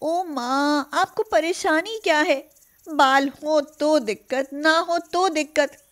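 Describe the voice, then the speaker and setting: A woman voicing a feisty, outspoken young adult daughter in an animated moral story. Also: high voice, takes it slowly. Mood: exasperated.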